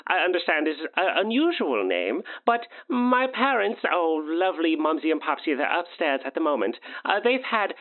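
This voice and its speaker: A woman voicing a quirky, genteel customer from the far future, fussy, affected and halting, over a tinny line. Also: low voice, big pitch swings. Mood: fussy, fond. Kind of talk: comic customer testimonial. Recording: tinny, narrow radio-like line.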